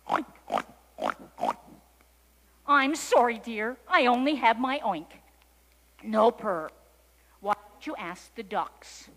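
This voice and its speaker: A woman's voice: fairly high pitch, slow pace, fairly bright tone, in a large hall. A woman voicing a kindly, polite pig in a storybook, oinking and speaking apologetically. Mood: apologetic.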